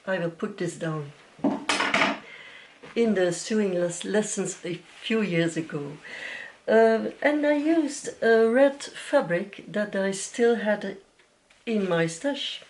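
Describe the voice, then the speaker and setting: A woman's voice: mid-range voice, darkish tone, slight background noise. A woman from Belgium, a native Dutch speaker with a Flemish accent, hosting a casual craft podcast in English and sometimes searching for words. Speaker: podcast host, piano teacher.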